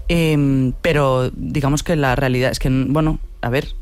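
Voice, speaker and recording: A man's voice: mid-range voice, steady tone, normal speed, smooth, no room sound. A man, a director, answering interview questions thoughtfully with frequent hesitations. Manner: thoughtful, hesitant, many "em" and restarts.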